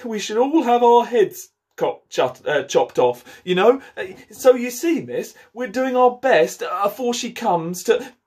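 A man's voice: high voice, expressive, unhurried, a little mellow, slightly rough, voice pushed up, full character voice. A man voicing a nervous, humble playing-card gardener who talks in a rustic, stumbling, apologetic way.

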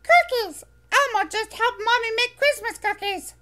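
A woman's voice: very high-pitched, measured pace, bright, forceful tone, voice pushed up, full character voice. A woman voicing a cheerful little monster boy who talks about himself in the third person, from a storybook reading. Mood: excited.

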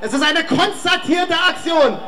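A man's voice: extremely high pitch, animated, unhurried, a little hiss or hum. List: man, rally speaker, declamatory, echoey PA sound, crowd behind. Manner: shouting, declamatory. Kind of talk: speech to a crowd.

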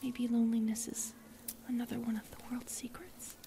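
Woman voicing a whispery, gentle, lonely forest spirit. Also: on the high side, little pitch movement, a little hiss or hum. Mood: lonely, wistful. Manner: soft, breathy, slow and wistful, often whispering. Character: gentle, lonely, eerie female forest spirit made of branches. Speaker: ASMR roleplay performer. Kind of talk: whispered ASMR roleplay.

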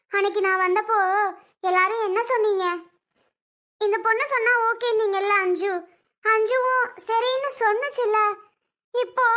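A woman's voice: extremely high pitch, a bit bright. A woman acting a distraught young woman who pleads tearfully and insistently in a family drama.

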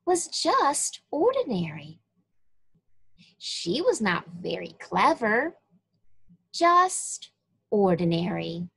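Very slow, expressive woman reading to children. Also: a little high, gravelly, breathy edge. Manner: deliberate, emphatic phrasing addressed to children. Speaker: children's story reader. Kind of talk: read-aloud Bible storytelling for young children.

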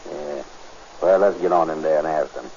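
An actor playing a self-important, easygoing, slow-witted man in 1930s radio comedy, talking in folksy, ungrammatical phrases. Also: very deep voice, darkish tone. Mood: grumbling. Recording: muffled, hissy 1930s radio recording.

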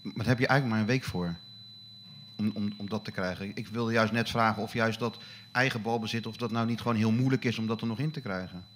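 A male journalist asking questions at a press conference, halting and repeating words as he talks. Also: fairly low pitch, fairly even intonation, a little hiss or hum.

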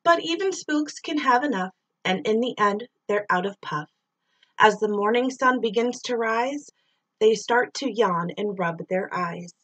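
A middle-aged woman doing an expressive read-aloud of a rhyming children's story, drawing out words and sound effects like a teacher reading to pupils. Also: medium pitch, unhurried, poor audio.

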